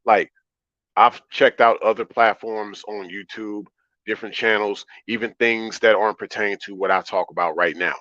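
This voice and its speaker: A man with a deep baritone voice talking calmly and conversationally as a livestream host, repeating phrases for emphasis. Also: moderate pace. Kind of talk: conversational livestream monologue, answering chat. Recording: roomy, slightly dull lo-fi audio.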